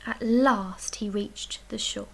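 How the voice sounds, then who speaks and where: A woman reading a children's picture book aloud as a storyteller, expressively, with dramatic emphasis and hushed, breathy dips. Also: a little high, steady tone, slow, in a whisper. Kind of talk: expressive read-aloud bedtime story narration.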